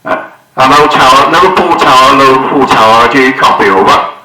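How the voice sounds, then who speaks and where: A man's voice: mid-range voice, fairly quick, roomy sound, background noise, natural voice. A man playing a pilot calling the tower. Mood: playful. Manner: loud, repeated radio call-outs. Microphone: headset microphone.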